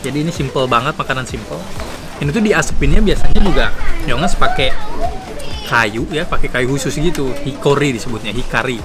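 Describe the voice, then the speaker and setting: A man's voice: mid-range voice, fairly quick. Chatty young man tasting street food. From Indonesia. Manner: chatty, laughs often, relaxed slangy talk. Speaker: travel show host. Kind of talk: casual food-tasting chat to camera. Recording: loud music under the voice.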